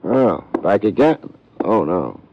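Wisecracking hard-boiled detective, low, lively, dry wit, 1940s radio play. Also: male voice, slow pace, noisy background, heavily acted. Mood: wry. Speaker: narrator. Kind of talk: 1940s radio drama acting. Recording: muffled old radio recording.

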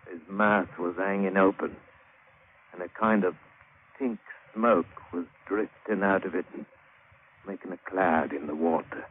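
A man's voice: low-pitched, fairly even intonation, a little slow. An actor playing a plain-spoken British sailor-diver who tells his grim adventure colloquially, in old radio drama style. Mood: grim, tense. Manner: colloquial old-salt storyteller with rustic grammar. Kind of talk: 1940s-50s radio drama acting and narration. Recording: muffled, narrow-band, hissy old radio broadcast.

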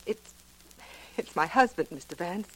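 Low-voiced, genteel anxious wife. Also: female voice, lively intonation, a little slow, fairly bright tone, low-quality recording. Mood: anxious, pleading. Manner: earnest, hesitant, formal. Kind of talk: 1940s radio drama acting.

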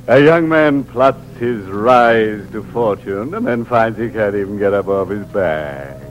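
An actor playing a macabre, mock-friendly horror host, speaking with tongue-in-cheek relish in a 1940s radio style. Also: male voice, fairly low pitch, expressive, a little slow, dull audio. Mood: sardonic, ghoulish. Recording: vintage radio recording, music behind.

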